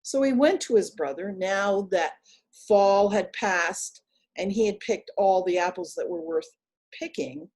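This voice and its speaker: A woman tells a folktale as a live oral performance. Her pace is slow and deliberate, with emphatic pauses. She sits at a middling pitch and delivers the story expressively. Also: a little mellow.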